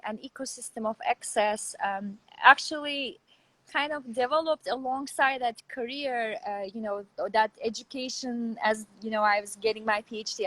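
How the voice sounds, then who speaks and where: A woman with a Turkish accent, giving a casual interview over a video call as a guest, thinking aloud in halting phrases. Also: a little high, a bit bright, no room sound, rough recording. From Turkey. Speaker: guest, artist and professor. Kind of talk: casual interview talk about own background.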